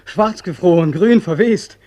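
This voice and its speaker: An actor playing a haunted, sleepless corporal back from war, intense and insistent in a German radio play. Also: male voice, high-pitched, measured pace, mellow. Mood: fevered, ominous. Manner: intense, insistent, declamatory, theatrical.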